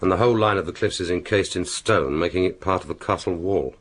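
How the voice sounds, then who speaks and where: An actor playing a cool, dry-witted British secret agent in a radio drama, speaking in a clipped, understated, wry way. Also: male voice, low-pitched, fast talker, slightly rough. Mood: inquisitive.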